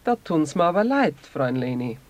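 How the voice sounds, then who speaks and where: A man's voice: fairly high pitch, very animated, fairly quick. An actor playing a sly, nostalgic older man in a radio play, coaxing. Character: insinuating older man, nostalgic for prewar uniforms. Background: music.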